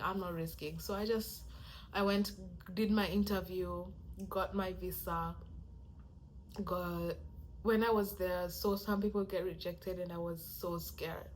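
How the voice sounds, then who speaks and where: A young woman tells a personal story to her camera in a chatty, animated way, bursting into quoted reactions. Her voice is soft and low in volume, at a middling pitch. A faint hiss sits behind the recording. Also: low-quality recording. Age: young adult. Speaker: vlogger.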